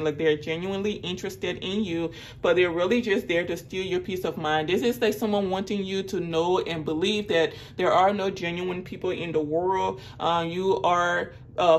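A woman giving a casual, earnest tarot reading as a monologue, cautioning the listener as she goes. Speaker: tarot reader. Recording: noticeable background noise with low rumble.

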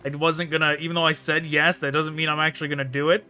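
A man's voice: on the high side, little pitch movement, fast, bright, forceful tone, smooth. A man giving casual commentary as he plays through a game. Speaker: gaming YouTuber, let's player. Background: game music and sounds.

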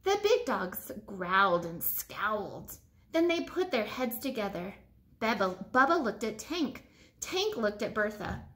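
A woman in her thirties reading a picture book aloud to children like a teacher, with expressive, emphatic phrasing. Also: mid-range voice, a little slow. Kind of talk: children's storybook read-aloud. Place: living room at home, indoors.

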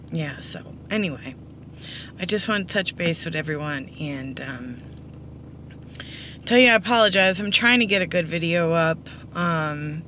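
A middle-aged woman with a hoarse, under-the-weather voice, giving a casual, rambling update to viewers in a low-key, apologetic way. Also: a bit bright. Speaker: slots vlogger. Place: inside a parked car. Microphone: phone at arm's length. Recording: background noise inside a vehicle.

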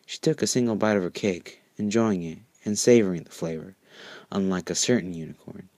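A man's voice: low voice, fairly quick, mellow, dry recording. A man narrating a fan story in an informal amateur reading, sometimes stumbling over words.

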